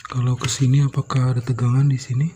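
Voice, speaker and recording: A man's voice: mid-range voice, little pitch movement, quick pace, dark tone, slight background noise. A man giving a casual hands-on repair commentary, thinking aloud step by step as he works. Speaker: electronics repairer and video host.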